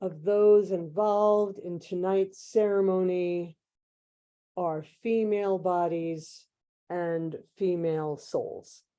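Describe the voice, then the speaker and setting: Slow, mellow woman guiding a meditation. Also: lowish voice, steady tone. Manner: measured, hushed phrasing with long pauses. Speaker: guided meditation leader. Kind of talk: guided meditation, spoken slowly as a spiritual transmission.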